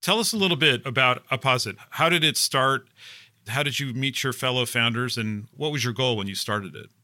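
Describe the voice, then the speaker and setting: A man hosting a podcast, reading scripted narration in a clear, even, polished way and putting questions to his guest. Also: mid-range voice, a little fast. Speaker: podcast host.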